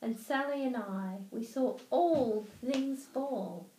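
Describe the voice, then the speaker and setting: An Australian woman reading a children's story aloud in an expressive style. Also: sits high, slow pace. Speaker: storybook reader. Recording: roomy home recording, faint noise.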